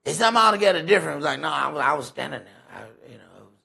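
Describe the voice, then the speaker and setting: A man with a gravelly, husky voice leads a Bible study in conversational sermon style, emphatic and folksy, often posing rhetorical questions. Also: fairly high pitch, expressive, rapid-fire, bright, forceful tone. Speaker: preacher leading a Bible study. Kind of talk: conversational sermon with scripture commentary.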